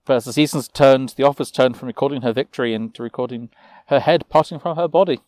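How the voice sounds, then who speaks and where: A man narrating a fantasy tale as a storyteller, with a measured, theatrical delivery. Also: mid-range voice, a little mellow, dry recording.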